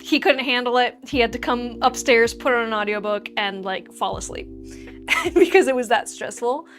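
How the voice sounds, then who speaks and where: A young woman with a fairly high voice hosts a relaxed livestream and chats straight to her viewers. She teases herself and laughs a lot between thoughts. Soft music plays faintly behind her. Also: moderate pace. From Argentina. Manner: chatty, self-teasing, laughs often. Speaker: livestream host. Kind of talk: casual livestream chat with viewers.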